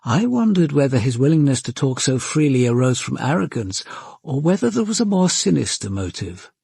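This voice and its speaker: An actor playing a composed, probing senior British police commander in a radio drama, speaking in a measured, formal, reflective way. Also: male voice, medium pitch, very animated, gravelly, a little mellow, breathy edge, dry recording, studio quality, full character voice. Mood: grave, reflective. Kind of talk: radio drama acting with first-person narration.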